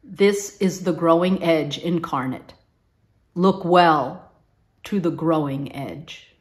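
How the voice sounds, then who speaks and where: Older female reader, lowish voice, very slow and solemn devotional delivery. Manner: deliberate, solemn. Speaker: congregation member, reader. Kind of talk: poetic reading of a devotional text. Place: indoors at home, video recording by a piano.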